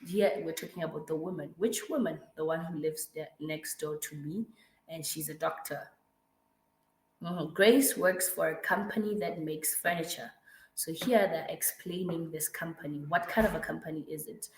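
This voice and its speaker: A woman with a hoarse, raspy voice from the flu, patiently explaining grammar to a student in a one-to-one lesson and checking in often. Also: low-pitched, moderate pace, roomy sound, low-quality recording. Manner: patient explaining with frequent checks like "right?" and "mm-hmm". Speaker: English tutor.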